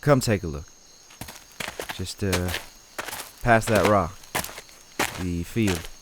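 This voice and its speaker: A man's voice: low voice, slow pace. A man playing a troubled, plain-spoken farmer in an audio horror play, hesitant, folksy and understated. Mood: grim, resigned. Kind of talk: audio horror drama acting. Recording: insect-like ambient noise behind dialogue.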